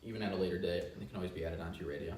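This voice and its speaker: A man's voice: deep voice, steady tone, very fast, a little mellow. A man speaking casually as a co-presenter in a promotional question-and-answer talk for a company. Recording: echoey room, distant mic, faint noise.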